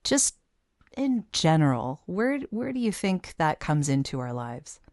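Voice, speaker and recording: Low-pitched woman hosting a podcast interview. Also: big pitch swings, measured pace, a little mellow, dry recording, clean studio sound. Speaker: podcast host. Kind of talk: conversational interview.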